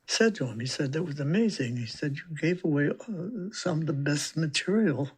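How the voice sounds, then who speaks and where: A man with a husky voice, casually telling an anecdote as an interviewee. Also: on the high side, lively intonation, normal speed.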